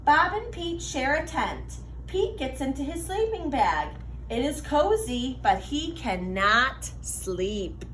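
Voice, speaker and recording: A woman reading a children's picture book aloud in an animated, deliberate way for young listeners. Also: high-pitched, reverberant, slight background noise, rough recording. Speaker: read-aloud storyteller for children.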